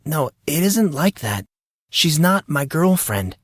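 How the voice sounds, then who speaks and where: A man's voice: a little high, sing-song, slow pace, fairly bright tone, husky, no echo, clean studio sound, pitched above the performer's own voice, heavily acted. A man voicing an earnest, defensive teenage boy with a hesitant manner.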